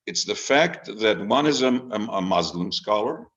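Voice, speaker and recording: An elderly man in his eighties with a low voice, heard over dull, lo-fi video call audio. He is a retired rabbi from Israel who talks informally about his life, deliberately and with dramatic pauses and repetition. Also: lively intonation, a bit bright. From Israel, born in Haifa under British Mandate Palestine. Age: 80s. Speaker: retired rabbi and author, guest speaker. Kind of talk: informal autobiographical talk to an online group. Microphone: video call microphone.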